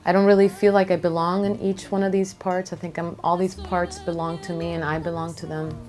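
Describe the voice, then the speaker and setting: A woman from Venezuela speaking reflectively in an interview as a singer-songwriter, thoughtful and halting, often pausing mid-phrase. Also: on the low side, flat delivery, a little mellow.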